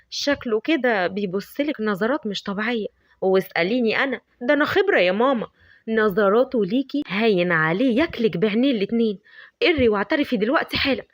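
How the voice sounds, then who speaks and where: This is a lovestruck young woman with an Egyptian Arabic accent , as a woman puts her on for a storybook. Her voice is high and fast, and she teases in an excited, overdramatic way. The sound is dry and close. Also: no echo, close to an everyday voice. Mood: giddy. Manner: excited, teasing, dramatic.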